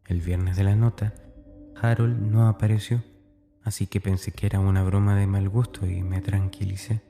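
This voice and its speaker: A man's voice: lowish voice, little pitch movement, normal speed, vocal fry, warm tone, soft background music. An actor playing a tormented, fearful schoolboy, narrating his diary in a confiding, anguished, dramatic way. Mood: subdued, confiding.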